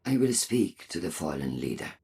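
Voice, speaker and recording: A woman playing a stern robot faction leader in a game, speaking formally and deliberately in a husky, gravelly voice. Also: extremely low pitch, sing-song, a little mellow, full character voice. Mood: smoothly menacing. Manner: deliberate, formal, coolly persuasive. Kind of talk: dramatic game monologue.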